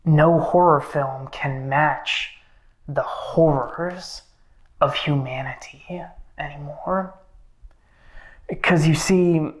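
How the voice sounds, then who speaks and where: A man playing a menacing, philosophizing horror villain in a monologue, halting and brooding, drifting between speech and breathy whispers. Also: fairly high pitch. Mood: grim, disdainful, then ominous, bitter.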